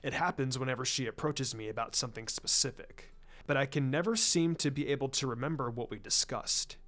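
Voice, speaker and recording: A man voicing a formal, proud clan patriarch and father in a story reading, speaking in a guarded, measured way. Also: medium pitch, fairly bright tone, voice pushed down, natural voice. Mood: troubled, firm. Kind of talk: fan fiction story reading. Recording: music under the voice.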